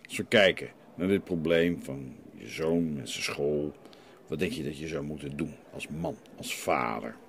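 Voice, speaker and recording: A man voicing a blunt, confrontational priest in an audio drama, husky, asking terse probing questions. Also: very deep voice, a little slow, slight background noise, pitched below the performer's own voice, full character voice. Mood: grave, challenging.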